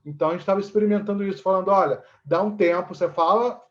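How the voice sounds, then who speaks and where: A man's voice: high voice, quick pace. A Brazilian man giving conversational, explanatory interview answers, often checking for agreement with short tag questions. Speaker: interviewee, actor and theatre teacher. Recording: dull, lo-fi audio.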